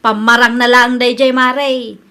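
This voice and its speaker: A woman's voice: on the high side, flat delivery, unhurried, bright, forceful tone. A woman playing an aggrieved young mother fed up with her useless husband in a comedy radio drama, with an Ilocano accent. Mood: bitter, complaining. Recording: music behind the voice.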